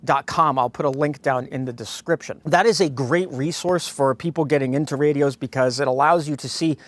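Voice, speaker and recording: A man's voice: on the high side, a little fast. A man presenting an informal product review, addressing viewers directly in a folksy way as a host. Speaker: host of a radio gear review channel.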